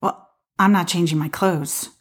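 A woman's voice: on the low side, fairly even intonation, unhurried. An actress playing a weary, guarded woman who has given up on love, speaking hesitantly in a naturalistic audio drama style. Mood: softening, tentative. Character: weary, disillusioned woman who stopped believing in soulmates.

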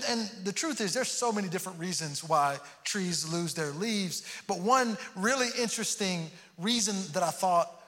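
A man's voice: sits high, fairly bright tone. A man from Houston preaching a sermon, earnest and prone to repeating words as he starts a thought. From Houston, Texas. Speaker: pastor. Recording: long hall reverb.

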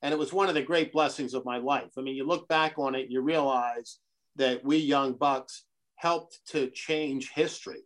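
A middle-aged man from Brazil, a guest on a podcast, answering in an earnest, measured way. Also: medium pitch, fairly even intonation, a bit bright. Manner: earnest, measured, speaks of his convictions. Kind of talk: conversational interview.

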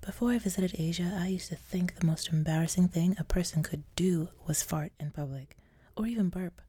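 A woman from Nigeria hosting a podcast, delivering a scripted storytelling monologue with a wry, sardonic, theatrical manner and frequent soft laughter. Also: on the low side, brisk, slightly rough. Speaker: podcast host.

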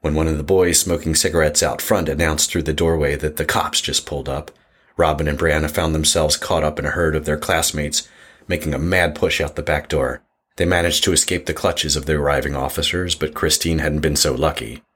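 A man narrates a scripted horror tale. His voice is exceptionally low, with a husky, rough grain throughout. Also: steady tone, brisk, a bit bright.

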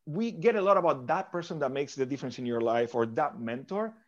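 A man from Argentina speaks as a podcast guest at a middle pitch. He answers thoughtfully and often restarts or repeats words as he goes. His audio is muffled and lo-fi. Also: expressive, a little fast. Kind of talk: interview answers.

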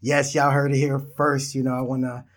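A man's voice: medium pitch, flat delivery, moderate pace. A man in his thirties from Israel, hosting his own podcast in a laid-back, rambling monologue that talks straight to listeners. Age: 30s. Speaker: podcast host. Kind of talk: casual solo podcast monologue.

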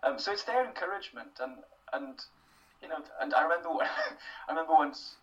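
A young man with a Welsh accent talks things through in an unhurried, self-deprecating way, often pausing on fillers and starting sentences over. He sits a little back from the mic, and the recording sounds somewhat muffled. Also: on the high side, expressive, far from the mic. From Wales. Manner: thoughtful, self-deprecating, many fillers and restarts. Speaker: interview guest, writer. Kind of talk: casual interview.

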